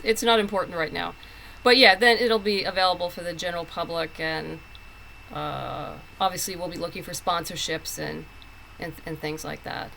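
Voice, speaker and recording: A woman's voice: lowish voice, gravelly, pushed and bright, rough recording. A woman being interviewed as a writer, telling stories casually and emphatically, acting out quoted thoughts, with noise behind her. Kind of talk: casual conversational storytelling.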